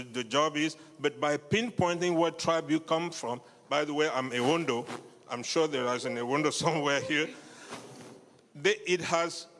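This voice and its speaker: A man with a somewhat raised voice speaks earnestly and haltingly, pausing to find his words. He is addressing a live crowd in a theater, and his voice rings with the long reverb of the hall. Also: a little high, fairly bright tone. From Cameroon. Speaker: guest speaker. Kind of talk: speech at a live event. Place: theater stage.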